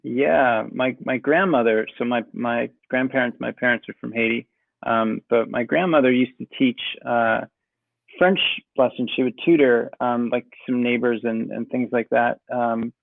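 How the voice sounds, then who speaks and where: A man, a music producer, talking casually in an interview, relaxed and rambling with many fillers. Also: medium pitch, normal speed, gravelly, no echo. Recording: tinny phone-like call audio.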